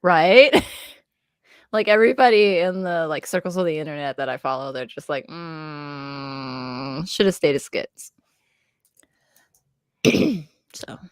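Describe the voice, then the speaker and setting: A woman's voice: low-pitched, takes it slowly, long pauses, clear, resonant tone, dry recording, clean studio sound. A young woman co-hosting a casual chat, rambling and filling her talk with "like". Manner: rambling, filler-heavy, says "like" constantly. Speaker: co-host. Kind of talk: casual chat between friends.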